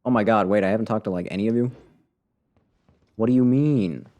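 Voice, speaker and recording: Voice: mid-range voice, a little fast, dark tone. A game streamer giving casual live commentary in a playful, dryly sarcastic way.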